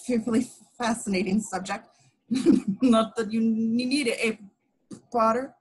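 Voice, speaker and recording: A woman's voice: a little high, steady tone, a little slow, breathy edge, rough recording. A woman voicing a nervous, timid young male wizard professor who stutters, in a screenplay table read. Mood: nervous, flustered. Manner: stuttering, timid, breaks off words.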